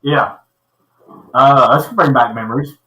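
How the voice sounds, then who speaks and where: A man's voice: mid-range voice, pushed and bright. A man in his thirties hosting a casual live podcast chat, often stalling on repeated words and "uh". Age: 30s. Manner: halting, repeats words and fills with uh. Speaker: podcast host. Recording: echoey room.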